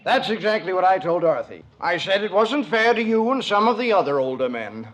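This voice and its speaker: An actor playing a smug, teasing neighbour of about forty in a 1950s radio sitcom. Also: male voice, high voice, big pitch swings, brisk, a bit bright, slight background noise. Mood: smug needling. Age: middle-aged. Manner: teasing, self-satisfied. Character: smug, needling neighbour man, nearly forty.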